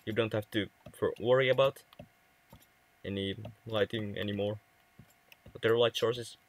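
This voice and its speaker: A man's voice: on the low side, fairly even intonation, unhurried. A young man from Finland with a Finnish accent, chatting casually with viewers on a game livestream, often stumbling and restarting words. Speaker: livestream gamer.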